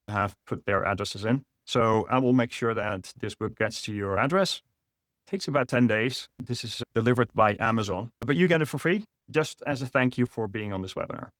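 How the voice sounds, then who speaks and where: A man's voice: mid-range voice, no echo, clean studio sound. A middle-aged man from the Netherlands with a Dutch accent, presenting a business webinar in an explanatory way. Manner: explanatory, with frequent fillers and restarts. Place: online webinar.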